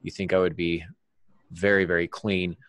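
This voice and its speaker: Low-pitched man discussing writing, dry close sound. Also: little pitch movement, moderate pace, fairly bright tone, no echo. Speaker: podcast host and writing teacher. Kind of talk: podcast discussion about writing craft.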